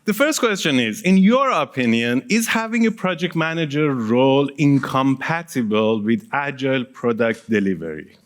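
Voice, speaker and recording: A man's voice: mid-range voice, very animated, normal speed, hardly any pauses. A man whose first language is Persian, giving a conference talk in a casual, engaging way and polling the audience with questions. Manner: engaging, quizzes the audience and counts hands; casual, with small laughs and asides. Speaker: conference speaker. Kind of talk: conference presentation.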